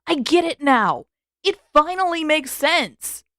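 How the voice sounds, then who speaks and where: A brash, dramatic teenage boy from a fantasy storybook, voiced by a woman. He is excitable and defensive, protesting loudly with big swings in pitch. The sound is dry and close. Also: slow pace, dry recording, full character voice. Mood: triumphant.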